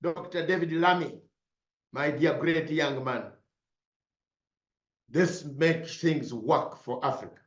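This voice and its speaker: A man with a high voice speaks slowly and declaims, hammering points home through repetition. The sound is roomy and a little dull, as if recorded in an echoing space with modest equipment. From Uganda. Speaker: broadcaster. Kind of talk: impassioned political speech.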